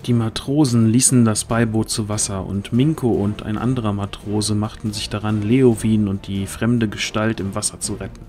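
A man narrating a fantasy audio drama from a script in a measured storytelling manner. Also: on the low side, steady tone, mellow, faint music behind.

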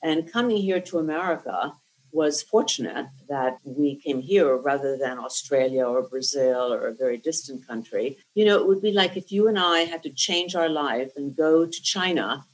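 A woman's voice: low-pitched, moderate pace, low-quality recording. Articulate older woman telling her story. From Lithuania. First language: Lithuanian. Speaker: podcast guest. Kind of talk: storytelling interview answer. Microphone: podcast microphone.

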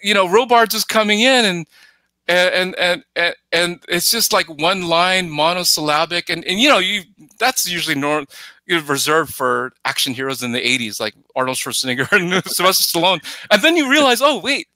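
Co-host: male, middle-aged, sharp and slightly high voice, excitable stop-start casual talker, dry sound. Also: normal speed, dry recording. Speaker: podcast co-host. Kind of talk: casual film discussion. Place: indoor room, on video call.

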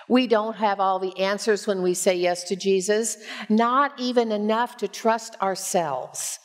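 A woman in her sixties preaching as a pastor, with measured, expressive storytelling, on a headset mic in a church. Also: mid-range voice. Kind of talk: sermon with personal storytelling. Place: church sanctuary, at a pulpit.

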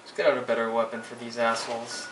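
A man's voice: mid-range voice, monotone, fast. Young man cursing through gameplay commentary. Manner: profane, frustrated outbursts at the game. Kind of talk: live gaming commentary. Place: indoors at a computer. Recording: echoey room, distant mic, noisy.

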